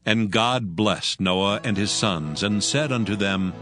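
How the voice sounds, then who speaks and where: Low-voiced man narrating scripture over music. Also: slow pace, a bit bright.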